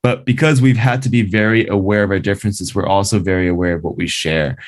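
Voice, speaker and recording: Low-voiced man, composer guest, thoughtful and filler-heavy casual talk. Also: fairly even intonation, fast. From Canada. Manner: thoughtful, many fillers like "you know" and "like".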